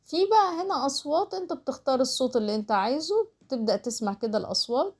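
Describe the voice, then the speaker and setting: A woman's voice: sits high, lively intonation, racing, a little mellow, slightly rough. A woman with an Egyptian Arabic accent giving a casual step-by-step screen tutorial, explaining as she goes and mixing in English terms. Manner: explains while doing, with English terms mixed in. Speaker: online tutorial instructor.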